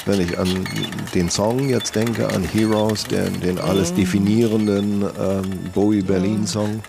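Male documentary interviewee, thoughtful casual talk, lowish pitch, plenty of vocal fry. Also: steady tone, unhurried.

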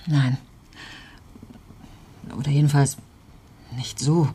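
A woman's voice: sits low, steady tone, takes it slowly, dark tone, slight background noise. An actress playing a newly widowed farm woman, subdued, hesitant and composed with slight nerves, in a crime radio play. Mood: shaken but composed. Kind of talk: German crime radio drama acting.

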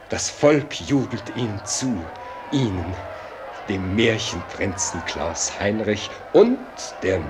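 An actor playing an elderly courtly statesman in a German radio drama, speaking solemnly and theatrically with a husky voice. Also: low-pitched, a little mellow, slight background noise, full character voice. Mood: exalted, ceremonious. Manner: solemn, ceremonious, theatrical delivery. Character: elderly courtly statesman addressing his young prince.

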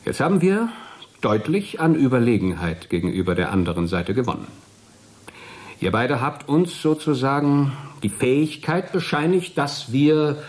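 An actor playing a jovial, smug military colonel in a radio play, patronising and soothing in officialese. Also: male voice, medium pitch. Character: jovial, smug military colonel addressing his retired astronauts. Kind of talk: German radio play acting.

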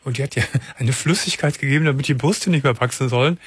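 A man's voice: medium pitch, fast talker, breathy edge, no echo. A man hosting a late-night radio call-in show, asking callers direct, blunt questions. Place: radio studio.

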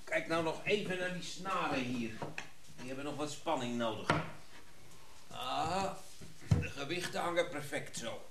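An actor playing a grumbling, dedicated tinkerer in a naturalistic radio drama. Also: male voice, on the high side, measured pace, pauses often, echoey room, very noisy. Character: grumbling, dedicated male tinkerer restoring a mechanical instrument.

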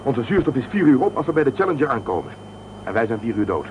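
A man's voice: medium pitch, very animated, quick pace, a little mellow, slight background noise, lightly acted, poor audio. An actor playing a wry, authoritative man in command aboard a spaceship in a Dutch science fiction radio drama. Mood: wry, grim.

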